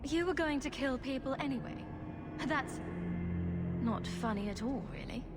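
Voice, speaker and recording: A woman's voice: sits high, lively intonation, normal speed, over loud music. A woman playing a wry, unflappable game heroine with a dry, sardonic way of talking. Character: wry, unflappable heroine adventurer, quick with sarcasm. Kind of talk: video game voice acting.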